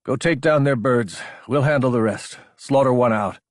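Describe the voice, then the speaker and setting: A man's voice: medium pitch, normal speed, no room sound, clean studio sound, natural voice. A man voicing a controlled male mech company commander giving clipped, authoritative orders in an audiobook. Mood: controlled, decisive. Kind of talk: audiobook character dialogue.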